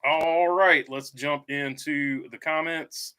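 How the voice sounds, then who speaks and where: Male streamer, bright tone, halting and casual, reads viewer comments aloud. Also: on the high side, fairly even intonation, clear, resonant tone. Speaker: livestream host. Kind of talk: casual live stream chat.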